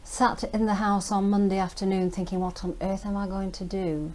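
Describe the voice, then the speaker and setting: A retired woman around seventy, telling an anecdote in a casual interview, chatty and lively. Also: medium pitch, normal speed, rough recording. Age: 70s. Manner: chatty storytelling with laughs.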